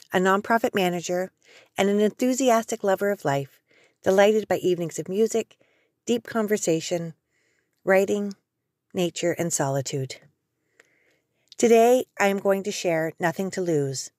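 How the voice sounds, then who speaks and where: A woman from Canada, a poet, introducing herself and reading her own poem in an earnest, expressive way. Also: medium pitch, normal speed, no echo, clean studio sound. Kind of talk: poetry reading.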